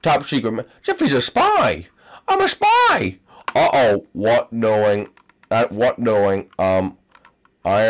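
A man's voice: mid-range voice, voice pushed down. A man voicing a babyish, whiny young boy character in broken baby talk while playing a game. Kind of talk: gameplay commentary in character.

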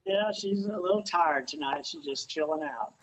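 Guest: unhurried man in his fifties, higher voice, plain and halting, remote from a porch. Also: poor audio. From Missouri. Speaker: dog owner, interview guest. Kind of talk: casual remote interview. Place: outdoors on a house porch.

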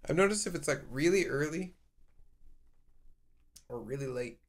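A young man with a raspy voice, singing along to his own acoustic guitar at a stand mic in a cluttered home music room, then chatting loosely between lines. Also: a little high, lively intonation, normal speed. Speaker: live-streaming musician. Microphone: stand mic in front of him.

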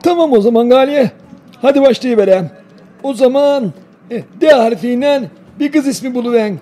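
A man's voice: extremely high pitch, moderate pace, dark tone. A man voicing an affectionate, playful old village grandfather in a cartoon, with folksy, doting talk in a Denizli Aegean Turkish accent. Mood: playful, encouraging. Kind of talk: cartoon voice acting.

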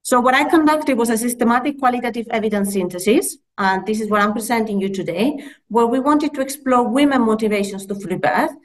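A woman in her thirties with a Spanish accent, a midwifery lecturer presenting her research over a video call in an earnest, measured way with fillers and self-corrections. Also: on the high side. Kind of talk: conference presentation. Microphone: laptop mic on a video call.

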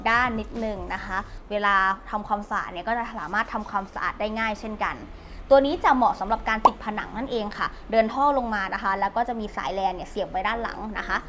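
This is a young woman reviewing a gadget, her voice sitting a little on the high side. She talks in a polite, explanatory way and goes through each item step by step. Faint music plays quietly behind her. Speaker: product reviewer. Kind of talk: unboxing product review. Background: faint background music.

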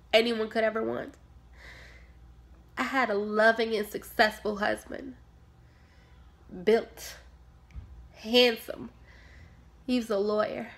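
A woman's voice: on the high side, creaky, a bit bright, exaggerated voice. A woman performing a dramatic monologue as a grieving, defensive mother, speaking in emphatic, pointed phrases. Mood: wistful. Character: grieving, defensive mother questioned about her sanity.

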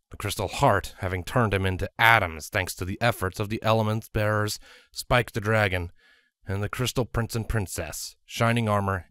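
A man's voice: fairly low pitch, no room sound, clean studio sound. A man reading scripted story narration as a storyteller.

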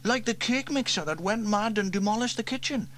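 A man's voice: sits high, fairly quick, a bit bright, voice pushed up. A man voicing a doubtful, teasing young girl in a storybook reading. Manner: skeptical, teasing.

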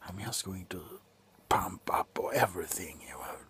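A tipsy man playing a callous, grumpy doctor in a slow, sullen ASMR roleplay, whispering sarcastically up close. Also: sits low, sing-song, fairly bright tone, a little hiss or hum. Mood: sarcastic, irritated. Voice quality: breathy whisper. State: drunk. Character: grumpy, callous, drunken male doctor on a house call. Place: small cluttered room indoors.

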